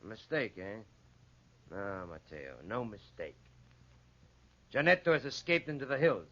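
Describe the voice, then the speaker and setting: An actor in a 1940s radio drama playing a dry, knowing country sheriff with a measured, pointed manner. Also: male voice, fairly low pitch, big pitch swings, fairly bright tone. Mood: grave, official. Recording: muffled 1940s radio recording.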